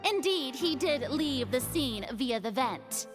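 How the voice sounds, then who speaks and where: An actress playing a haughty, theatrical young woman in a video game, delivering pompous, dramatic, drawn-out speech. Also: sits high, expressive, music in the background. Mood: smug, theatrical.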